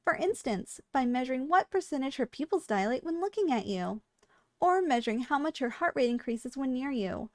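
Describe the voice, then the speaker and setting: A woman's voice: high voice, expressive, normal speed, no room sound. A woman playing a polite, businesslike shop clerk in a scripted ASMR roleplay, with formal sales patter and dry understatement. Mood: brisk, professional. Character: polite, businesslike female shop clerk selling dangerous yandere girls.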